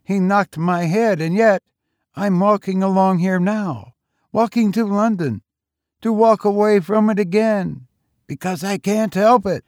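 Male reader as a husky, frail boy tramp; raised pitch, louder, dry. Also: a little slow, no room sound. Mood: pleading. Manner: eager, talkative, fatalistic. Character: frail teenage boy tramp, coughing and hoarse.

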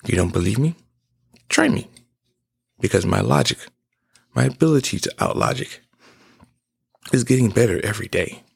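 A man's voice: lowish voice, lively intonation, moderate pace, creaky, pauses often, husky. A man in his twenties giving an emphatic, preachy personal monologue as a podcast host. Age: 20s.

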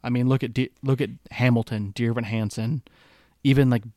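A man's voice: mid-range voice, a little fast, dry recording, clean studio sound. A man co-hosting a casual podcast chat, talking loosely with many fillers and often checking for agreement. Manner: relaxed, filler-heavy, seeks agreement with "right?". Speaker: podcast co-host.

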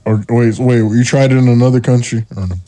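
A man hosting a casual podcast, joking crudely and laughing loudly and often. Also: medium pitch, fairly quick, dry recording. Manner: crude joking, frequent loud laughing, animated. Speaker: podcast host.

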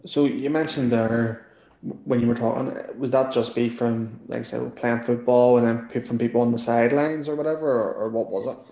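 A man with a Northern Irish accent hosting a podcast and asking questions in a relaxed, conversational way. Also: mid-range voice, moderate pace, echoey room. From Northern Ireland. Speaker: podcast host. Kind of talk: casual interview.

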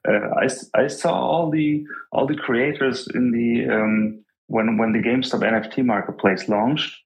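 A young man in his twenties from Germany, talking at an ordinary middle pitch. He answers interview questions humbly and hesitantly, often restarting his sentences. He sounds like he is on a remote call mic. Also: steady tone, normal speed, low-quality recording. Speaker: guest artist. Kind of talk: podcast interview.

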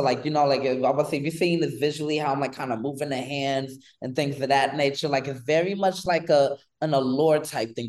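A man in his thirties from New York, a guest on an interview show, talking in an animated, rambling way with lots of fillers. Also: a little high. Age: 30s. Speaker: guest, pole dance instructor. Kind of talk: casual interview chat.

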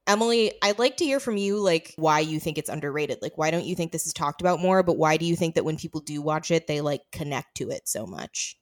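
A woman with a slightly low voice hosts a casual film discussion. She speaks in a conversational, enthusiastic way, as if talking things through with a friend. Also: expressive, fairly quick, hardly any pauses. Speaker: podcast host discussing a film.

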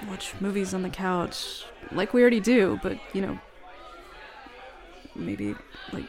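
A woman playing a flustered, smitten, jealous roommate in an intimate roleplay audio, speaking haltingly and nervously. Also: mid-range voice, sing-song, darkish tone. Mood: shy, tender. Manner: halting, nervous, stammering with breathy laughs. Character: flustered, smitten, jealous bad-girl roommate confessing a crush. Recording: bar crowd murmur behind voice.

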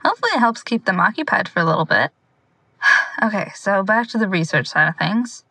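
A woman playing a wry young amateur investigator in an audio drama, quietly talking through her notes in a rambling, sardonic, confiding way. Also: medium pitch, animated, brisk, dry recording. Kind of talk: audio drama monologue, casual confiding log.

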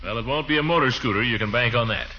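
An actor playing a pompous, blustery, soft-hearted middle-aged uncle in a 1940s radio comedy. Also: male voice, mid-range voice, rapid-fire, slight background noise, natural voice. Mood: blustering. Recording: muffled 1940s radio recording.

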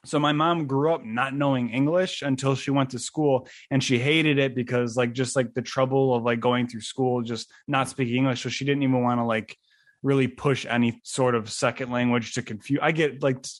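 A man's voice: mid-range voice, fairly even intonation, moderate pace, no echo, clean studio sound. A man from western New York hosting a casual interview, asking questions with lots of fillers and restarts. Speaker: podcast host.

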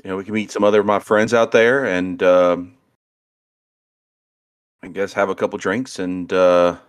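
A man's voice: sits low, little pitch movement, moderate pace, clear, resonant tone, pitched below the performer's own voice, lightly acted. A man doing a laid-back oblivious party guy. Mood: easygoing.

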